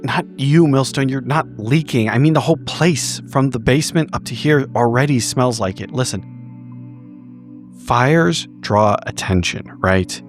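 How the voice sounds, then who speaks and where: A man with a mid-pitched voice improvises a roleplay scene. He speaks in halting, repeated bursts peppered with profanity, and music plays clearly underneath. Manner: halting, repetitive, profanity-laced speech. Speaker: tabletop roleplay podcast player.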